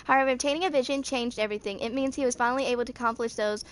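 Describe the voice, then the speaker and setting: This is a woman in her twenties with a fairly high voice. She reads game lore aloud like a fan. She trips over words and goes back to repeat them. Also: fairly even intonation, rapid-fire. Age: 20s. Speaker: amateur gaming fan video creator.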